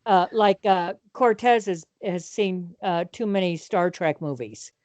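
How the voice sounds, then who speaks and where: A woman co-hosting a talk show, chatting casually in a halting way with lots of fillers. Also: fairly low pitch, a little mellow, dry recording. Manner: agreeable, halting, many fillers. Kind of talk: casual chat.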